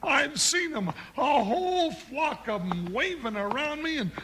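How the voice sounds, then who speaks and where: A big, superstitious cowboy deputy in an old radio western, through clear broadcast noise. The voice is strikingly high and scratchy for a man, with a slow, folksy American drawl. He blusters and exclaims like a comic coward. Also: big pitch swings, pushed and bright, heavily acted. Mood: frightened, indignant. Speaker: comic sidekick actor in a western radio series. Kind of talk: 1950s radio western acting. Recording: noisy 1950s radio broadcast recording.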